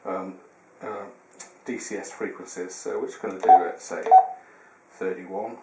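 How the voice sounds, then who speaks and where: A roomy, echoing recording with some background noise carries a man's voice. He talks in a lowish register, walking through a product demonstration informally, one step at a time and a little hesitantly. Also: little pitch movement, measured pace, dark tone. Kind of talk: informal hands-on product tutorial. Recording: roomy echo with background hiss.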